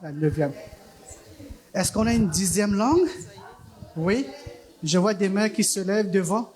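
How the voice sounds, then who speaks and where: A woman's voice: mid-range voice, animated, measured pace, darkish tone. A woman hosting a church gathering, calling on people one by one with short acknowledgments. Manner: brief acknowledgments, frequent ok and laughs. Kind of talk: casual roll call of greetings. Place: church service.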